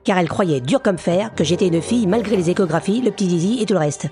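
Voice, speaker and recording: A woman's voice: fairly low pitch, fast, dark tone, faint music behind, natural voice. A woman playing the cheeky, irritating little inner voice of a man in an audio drama. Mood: cheeky, needling. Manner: cheeky, needling.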